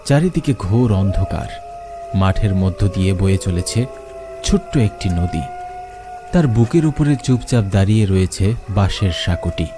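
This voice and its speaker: Lively low-voiced man telling a ghost story. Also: normal speed, music in the background. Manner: expressive storytelling, rising in questions. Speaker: narrator. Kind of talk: first-person horror story narration.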